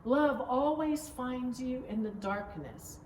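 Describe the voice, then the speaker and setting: A woman's voice: high voice, slow, a little mellow. A woman voicing an eager, curious little girl in a picture-book read-aloud. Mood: earnest, tender. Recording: roomy, distant mic, faint background noise.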